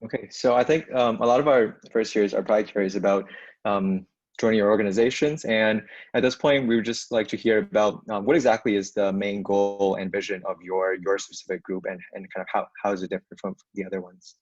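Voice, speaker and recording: A man's voice: fairly low pitch, steady tone, brisk, no room sound, lo-fi sound. A man co-hosting an online student panel, hesitant with many fillers. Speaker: panel co-host. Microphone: video call.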